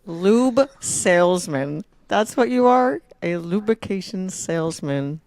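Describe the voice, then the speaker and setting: A woman's voice: lowish voice, expressive, slow pace, a little mellow. A woman co-hosting a podcast in casual chat, teasing in a matter-of-fact way. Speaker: podcast co-host.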